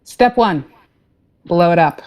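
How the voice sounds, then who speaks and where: Woman acting a mellow, breezy trigger-happy starship captain. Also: on the low side, lively intonation, slow. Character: breezy, trigger-happy female spaceship captain, drily sardonic. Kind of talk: comedic sci-fi play acting.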